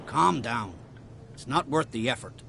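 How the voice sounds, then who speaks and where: An actor playing a calm, wry male sailor with a gravelly voice in a video game. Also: mid-range voice, unhurried, a little hiss or hum, exaggerated voice. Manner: calming and wry.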